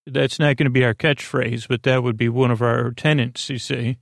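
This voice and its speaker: A man with a gravelly, creaky voice hosts a sleep podcast in a lulling ramble. He speaks haltingly at a middling pitch and wanders off into tangents. The sound is close and dry. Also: steady tone, dry recording. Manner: meandering, halting, trails off into tangents; soothing, lulling delivery. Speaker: podcast host. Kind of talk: rambling bedtime podcast monologue.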